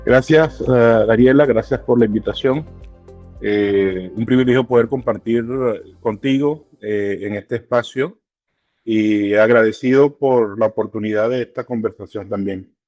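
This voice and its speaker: A middle-aged man, speaking as an interview guest in an unhurried way, with many hesitations and fillers. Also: fairly low pitch, a little mellow, no room sound, highs cut off, soft background music. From Venezuela. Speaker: church regional director, guest. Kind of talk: relaxed interview conversation.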